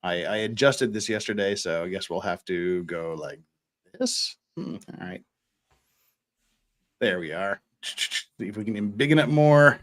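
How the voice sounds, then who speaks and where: Man hosting, with widely swinging pitch. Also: expressive, a little slow, fairly bright tone. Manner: rambling, stammering repeats while thinking aloud. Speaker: host of an online show. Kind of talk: casual banter on a livestream.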